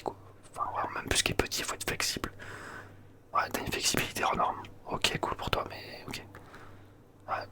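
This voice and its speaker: Young man, whispered comic roleplay, breathy hush, slangy, teasing. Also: very high voice.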